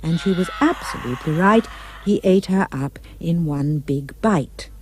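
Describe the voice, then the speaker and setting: A woman giving expressive, theatrical rhyming storybook narration for a puppet show. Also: on the low side, a little slow, slightly rough, no room sound.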